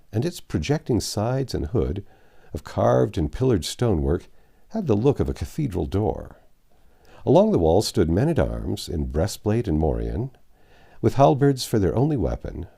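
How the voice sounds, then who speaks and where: Deep, gravelly man reading a story aloud. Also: warm tone. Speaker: audiobook narrator.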